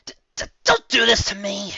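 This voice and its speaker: A brash tomboy pegasus mare, put on by a man reading a story, pushes her voice high and loud, raw at the edges. The tone stays bright and forward, caught in a dull, dry, lo-fi recording. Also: sing-song, a little slow, vocal fry, slightly rough, no echo, exaggerated voice. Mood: anguished.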